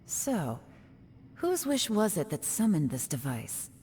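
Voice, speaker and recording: An actress playing a composed young woman mystic and strategist in a fantasy video game, speaking in a measured, articulate, solemn way. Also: on the low side, big pitch swings, a little mellow, a little hiss or hum. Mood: grave, reflective. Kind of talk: dramatic video game voice acting.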